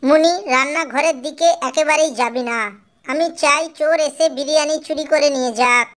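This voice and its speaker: A woman voicing a fretful, caring but shrewd mother songbird in an animated cartoon. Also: high voice, a little fast. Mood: determined. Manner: fretful, expressive.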